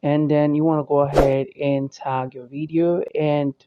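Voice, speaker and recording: A man's voice: on the high side, little pitch movement, moderate pace, very noisy. A man hosting a step-by-step tutorial, explaining in an instructional way and repeating the steps, on a dull, dry voice-over recording. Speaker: tutorial host. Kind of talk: step-by-step screen tutorial narration.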